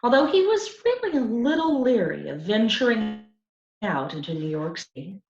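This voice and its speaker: A woman reading a children's story aloud in an expressive storytelling style. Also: on the high side, normal speed, echoey room, muffled recording. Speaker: storybook reader.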